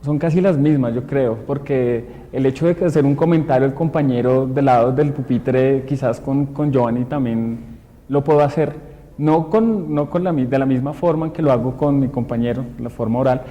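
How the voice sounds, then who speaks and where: A man's voice: mid-range voice, moderate pace, big-room sound, dull audio. A man answering an interview in a casual, hesitant way, often repeating words.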